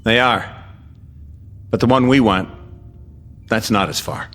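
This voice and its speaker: Steady mid-pitched spaceship pilot. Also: male voice, slow. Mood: calm wonder. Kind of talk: radio drama acting.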